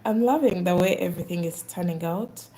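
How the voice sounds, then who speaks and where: A woman's voice: on the low side, fairly quick, mellow, poor audio. A woman with a Ugandan accent, chatting casually on a live stream while she does craft work.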